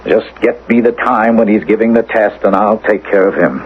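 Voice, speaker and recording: A man's voice: lowish voice, moderate pace, close to an everyday voice. An actor playing a determined, persuasive doctor and father in an old-time radio drama, scheming to save his son. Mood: decisive. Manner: urgent, persuasive, reassuring. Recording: tinny, band-limited, hissy old radio recording.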